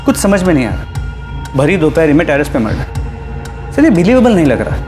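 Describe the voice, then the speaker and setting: Male subordinate officer, crime serial, higher register, dutiful and matter-of-fact, music underneath. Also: very animated, normal speed. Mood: puzzled, earnest. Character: dutiful male junior police officer reporting to superior. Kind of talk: Hindi TV crime serial acting. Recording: dramatic music under dialogue.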